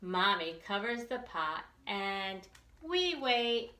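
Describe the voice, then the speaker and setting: Woman, children's storytime reader, unhurried pace, expressive delivery, careful enunciation, roomy echo. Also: medium pitch. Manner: expressive, deliberate enunciation for young listeners. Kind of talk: read-aloud picture book storytime for young children.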